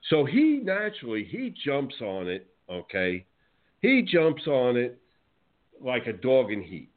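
Man, New Jersey accent, wrestling podcast host, brash and outspoken, lively swooping voice, tinny phone-like sound. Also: mid-range voice, unhurried. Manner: brash, outspoken, animated storytelling. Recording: phone-like narrow audio.

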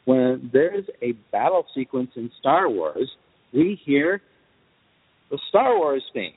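Male interview guest, articulate explainer of his craft, medium pitch, muffled lo-fi sound with long reverb. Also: big pitch swings, takes it slowly, slightly rough. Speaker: guest film composer. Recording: dull lo-fi audio with long reverb.

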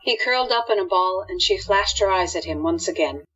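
A woman's voice: lowish voice, fairly quick, fairly bright tone, slightly rough, faint music behind. A woman narrating a scripted radio drama as storyteller, on dull lo-fi audio.

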